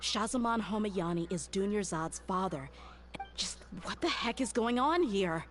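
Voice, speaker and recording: A woman's voice: mid-range voice, animated, measured pace, lightly acted. A woman playing a bold, blunt but loyal mercenary in a fantasy video game, speaking with confidence and frankness. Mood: pondering.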